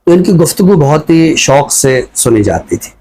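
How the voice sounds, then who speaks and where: A man with a Pakistani accent giving reflective, conversational commentary as a scholar, explaining things in a measured way. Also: on the high side, lively intonation, a little mellow, rough recording. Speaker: scholar commentator on a talk show.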